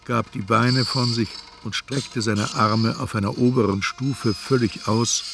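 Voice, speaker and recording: An actor playing an introspective first-person narrator in a literary radio play. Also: male voice, mid-range voice, fairly even intonation, measured pace, vocal fry, background noise.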